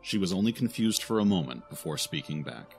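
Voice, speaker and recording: A man's voice: sits low, animated, a little fast, gravelly, a little mellow, faint music behind. A man reading scripted audio drama narration in a dramatic, solemn storytelling manner.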